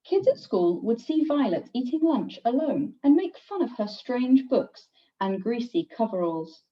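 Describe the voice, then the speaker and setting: Woman, teacher reading aloud, expressive picture-book narration, lively melodic delivery, distant muffled recording. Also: fairly high pitch. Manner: expressive, deliberate storytelling for young children. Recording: distant, slightly dull microphone.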